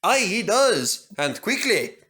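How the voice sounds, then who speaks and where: A man voicing a brave, excitable steam engine in a storybook, with a Scottish accent and emphatic, hearty exclamations. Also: sits high, slow pace, voice pushed up, exaggerated voice. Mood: proud.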